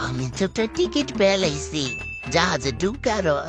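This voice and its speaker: A woman's voice: lowish voice, very animated, moderate pace. A woman voicing a pleading, crafty old male beggar in a comic cartoon, coaxing and mixing in broken Hindi words. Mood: boastful, protesting. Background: music.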